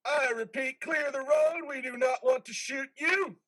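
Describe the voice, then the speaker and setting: A man in a reader's theater drama playing a stern, ruthless veteran military commander with a commanding delivery. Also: measured pace. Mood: gruff, wary. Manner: commanding, swings from low growl to shouting.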